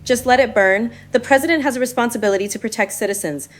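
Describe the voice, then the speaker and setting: A woman's voice: on the high side, quick pace, lightly acted. A woman playing a firm, impatient law-and-order caller to a talk radio show. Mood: firm, dismissive. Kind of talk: radio call-in drama acting.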